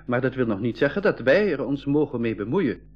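A man's voice: mid-range voice, sing-song, fairly quick. A man with a Flemish accent playing a cautious, sensible eighteen-year-old brother in a 1980s school radio play, acting and narrating theatrically. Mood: dismissive, sensible. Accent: Belgian Dutch (Flemish). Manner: theatrical, reasoning, storytelling delivery. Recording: dull lo-fi 1980s radio recording.

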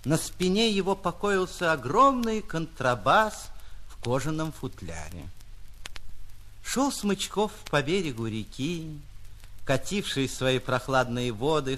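A man narrating a literary short story like a stage actor, theatrical, with drawn-out emphasis and dramatic pauses. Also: a little high, a bit bright.

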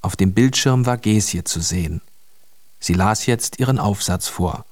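Man, narrator, scripted children's radio drama, fairly low pitch. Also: unhurried.

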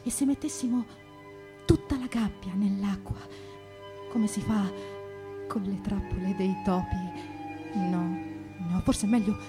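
A woman's voice: mid-range voice, steady tone, unhurried, long pauses, over music, close to an everyday voice. A woman playing a timid, dithering spinster, murmuring hesitantly in broken phrases in radio theatre. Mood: hesitant musing. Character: timid, dithering spinster musing on gentle killing.

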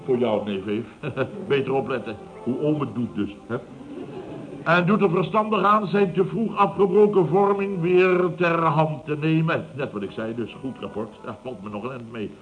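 An actor playing a pompous, self-satisfied middle-aged boss who exclaims and stammers when flustered, in old radio comedy style. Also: male voice, a little high, moderate pace, faint music behind, close to an everyday voice. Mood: eager, gloating. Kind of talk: 1970s Dutch radio comedy acting. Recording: dull, noisy vintage radio recording.

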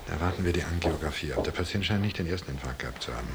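An actor in a radio drama playing a terse senior hospital doctor who gives orders with curt authority. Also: male voice, very deep voice, racing, background noise. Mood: commanding, urgent.

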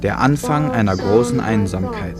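A man's voice: lowish voice, lively intonation, normal speed, over loud music, lightly acted. A man acting as a co-narrator in a radio play, telling it in a poetic, dramatic manner.

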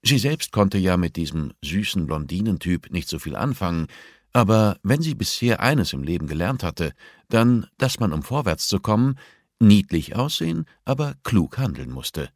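A man's voice: deep voice, sing-song, moderate pace, no echo, studio quality. A man reading a novel as scripted audiobook narration.

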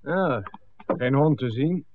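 Casting: jumpy, sneering gangster sidekick, medium pitch, old radio play with thin phone-like sound. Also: male voice, expressive, slow, noisy background. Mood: furtive. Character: rough male henchman, sneering and jumpy underling. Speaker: actor. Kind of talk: 1960s radio drama acting. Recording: tinny, narrow old radio recording.

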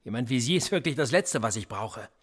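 An actor playing a sardonic, quietly menacing police interrogator in a radio play, speaking in a sarcastic, probing way. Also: male voice, mid-range voice, animated, a little fast. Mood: bitter, weary. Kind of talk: German radio play acting.

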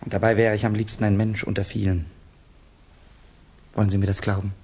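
An actor playing a guarded, brooding Russian exile in a German radio play, speaking in a terse, grave, defensive way. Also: male voice, fairly low pitch, flat delivery, fairly quick, a little mellow, breathy edge.

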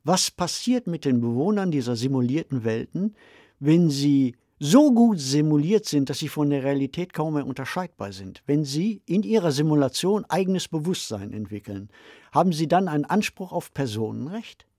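Mellow man moderating a discussion. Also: a little high, sing-song, moderate pace, no echo, clean studio sound. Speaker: podcast co-host posing questions. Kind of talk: semi-prepared discussion moderation.

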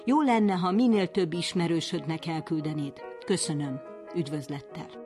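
A woman reading a text aloud on the radio in a steady, deliberate way. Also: lowish voice. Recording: voice over music bed. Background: music.

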